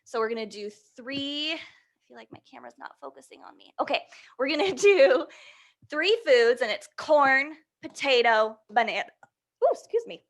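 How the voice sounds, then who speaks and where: A woman hosting a storytime for young children, reading and leading action rhymes in a playful, encouraging, animated way. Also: high voice, moderate pace. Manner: playful, encouraging, checks in with listeners.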